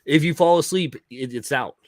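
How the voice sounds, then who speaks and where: A man's voice: a little high, normal speed, a little mellow, dry recording, clean studio sound. A man in casual conversation who repeats his points for emphasis. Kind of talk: casual chat.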